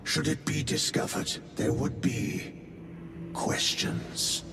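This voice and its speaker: An actor playing a solemn elder of a warrior order in a video game, grave and ceremonial in manner. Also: male voice, mid-range voice, slow pace, gravelly. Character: solemn male space marine elder presiding over an oath. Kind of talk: cinematic video game dialogue. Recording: echoey chamber with music behind.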